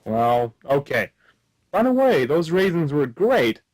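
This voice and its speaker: The wry, hapless gumshoe of a spoof radio serial, played by a man, deadpan from behind the office desk. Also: on the high side, slow pace, full character voice, poor audio. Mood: naive, genial. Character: hapless, wry hard-boiled male private detective. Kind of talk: comic noir radio play narration and acting.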